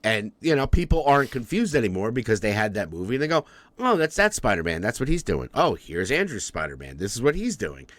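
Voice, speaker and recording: Rambling, animated podcast guy. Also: fairly low pitch, brisk, no echo. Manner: rambling, swearing, lots of fillers and restarts. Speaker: podcast co-host. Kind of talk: casual movie chat.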